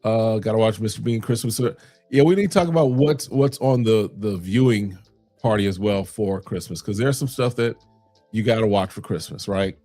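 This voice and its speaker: A man's voice: on the low side, moderate pace, mellow, soft background music. A man from Chicago's South Side hosting a casual fragrance livestream, answering chat questions in a loose, conversational way with plenty of fillers.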